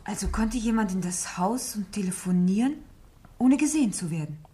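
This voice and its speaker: Actress as a lively, lilting vicar's wife. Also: female voice, mid-range voice, normal speed, lightly acted. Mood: curious, lively. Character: vivacious, teasing vicar's wife, gracious hostess. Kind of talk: radio drama acting.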